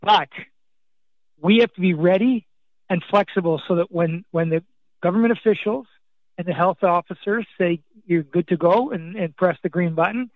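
A man's voice: high-pitched, dry recording. A man who grew up in Canada after being born in Scotland, a soccer league executive answering radio interview questions with many restarts and fillers. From born in Scotland, raised in Canada. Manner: halting, repeats words, many fillers. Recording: dull, dry remote line.

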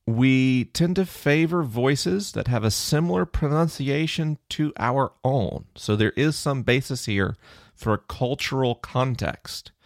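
A middle-aged man with a low, chesty voice, hosting a podcast and casually explaining research in answer to a listener question. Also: no room sound, clean studio sound. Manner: conversational, thinking aloud with fillers.

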